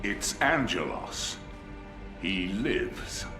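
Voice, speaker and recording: An actor playing a watchful, grave military advisor in a video game, formal and intent. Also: male voice, low-pitched, big pitch swings, drawn-out words, full character voice. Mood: intent, surprised. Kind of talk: dramatic video game acting. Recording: echoey, clear music behind.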